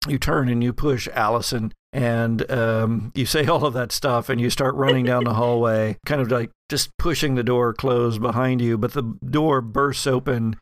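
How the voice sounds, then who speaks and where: A man running a horror tabletop game as game master, improvising narration in halting, deliberate phrases. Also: mid-range voice, fairly even intonation, no echo, studio quality.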